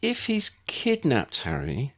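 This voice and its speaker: A plucky young girl in a storybook, put on by a man. Her voice is small and lifted in pitch, and she speaks frankly with an animated lilt. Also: takes it slowly, full character voice. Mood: anxious.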